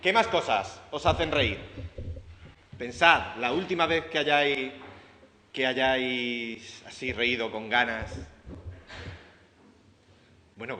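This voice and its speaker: A man's voice: medium pitch, bright, forceful tone, a little hiss or hum. A man with an Andalusian Spanish accent giving an informal, chatty lecture to students, often asking the audience questions and restarting his sentences. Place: lecture room. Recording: echoey hall.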